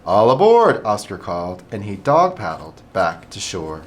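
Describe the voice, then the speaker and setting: A middle-aged man, a school administrator, reading a picture book aloud to children in an animated, expressive way. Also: sits low, normal speed.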